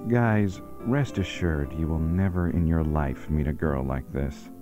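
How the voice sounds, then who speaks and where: Extremely deep-voiced male radio announcer. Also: a little slow. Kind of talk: scripted radio public service announcement. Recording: dull radio recording, music behind.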